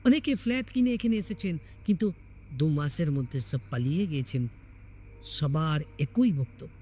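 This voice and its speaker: A male storyteller reads a ghost story with dramatic, scripted delivery. His voice sits a little higher than most men's. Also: mellow, husky. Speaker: storyteller narrating a horror story.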